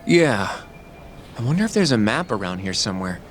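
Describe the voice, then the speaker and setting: A man's voice: lowish voice, very animated, fairly quick, soft background music. A man acting in a game dub as an earnest young attorney, articulate and polite but firm. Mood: calm, curious.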